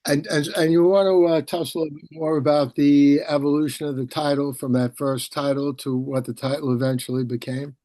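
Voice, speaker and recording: A man's voice: a little high, flat delivery, brisk, hardly any pauses, dark tone, no room sound. A man hosting a conversational interview on a video call, discursive, often repeating his words. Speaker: interview host.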